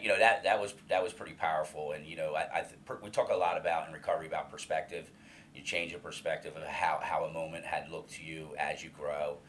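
A man in his fifties with an extremely low, deep voice talks candidly as an interview guest. He speaks earnestly and a little haltingly, restarting phrases and leaning on fillers. Faint noise sits under the recording. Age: 50s. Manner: open, earnest, hesitant with many restarts and fillers; loud voice. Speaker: alumni coordinator, guest.

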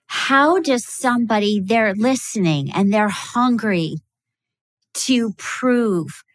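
Slow-talking woman podcast host. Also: a little high, lively intonation, dry recording, studio quality. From United States. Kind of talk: interview.

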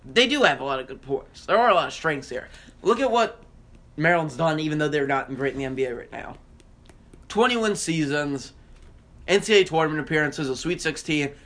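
A man's voice: fairly high pitch, expressive, fairly quick, a bit bright. An American man co-hosting a sports podcast, arguing his points emphatically and repeating phrases to drive them home in casual debate.

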